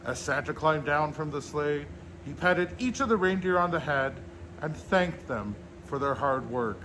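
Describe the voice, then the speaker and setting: Higher-pitched man reading a children's story. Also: animated, unhurried, a bit bright, a little hiss or hum, low-quality recording. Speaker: storyteller in a Santa persona, host.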